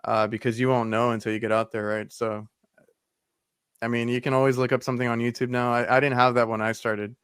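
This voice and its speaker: Smooth-voiced man hosting a chat. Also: mid-range voice, level tone, a little fast, a bit bright, dry recording, studio quality. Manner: friendly, full of fillers and restarts. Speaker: podcast host, HVAC technician. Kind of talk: casual interview talk.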